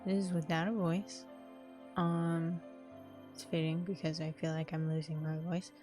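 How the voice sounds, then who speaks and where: Lowish-voiced woman playing a visual novel. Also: a little slow. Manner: informal, expressive. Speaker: game streamer. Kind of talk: casual gaming commentary and reading narration aloud. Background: game music.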